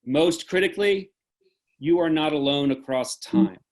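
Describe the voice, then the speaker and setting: A man speaking as host of an online event, giving earnest, deliberate closing remarks on a video call. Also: a little high, darkish tone. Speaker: host and moderator.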